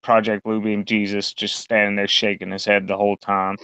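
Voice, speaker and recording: Low-voiced man with a smooth, dry sound. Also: completely flat delivery, normal speed, bright, forceful tone, no echo. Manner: rambling, crude, lots of fillers. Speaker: podcast co-host. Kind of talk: casual profane chat. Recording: dull lo-fi sound.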